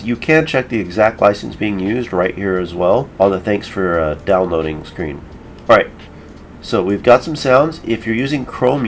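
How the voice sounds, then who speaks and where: Low-voiced man narrating a tutorial, noisy background. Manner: informal, step-by-step explaining. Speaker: tutorial host. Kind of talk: casual screen-recorded software tutorial narration.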